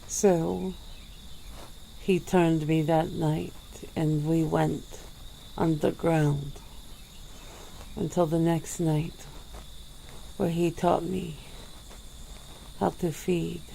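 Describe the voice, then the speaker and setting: Woman playing a slow, gravelly ancient vampire. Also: low voice, flat delivery, dark tone, slight background noise, exaggerated voice, poor audio. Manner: slow, measured, formal speech. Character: centuries-old female vampire, calm and regretful. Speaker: ASMR roleplay performer. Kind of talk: ASMR interview roleplay.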